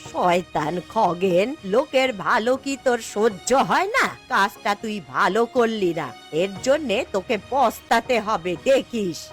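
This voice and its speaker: A woman's voice: fairly high pitch, animated, a little slow, few pauses. A woman playing a poor, proud old village grandmother in a fairy-tale cartoon, speaking in an emphatic, indignant way. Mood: angry, scolding. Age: older. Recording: background music throughout.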